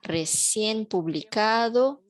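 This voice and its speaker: Slow, measured woman interpreter. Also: medium pitch. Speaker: simultaneous interpreter. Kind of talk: interpreting a panel talk.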